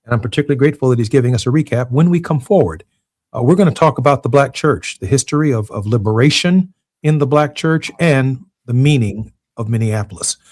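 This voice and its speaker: Radio host, male, measured, crisp dry studio voice. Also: medium pitch, expressive, darkish tone, no room sound. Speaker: radio talk show host. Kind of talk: radio show segment outro.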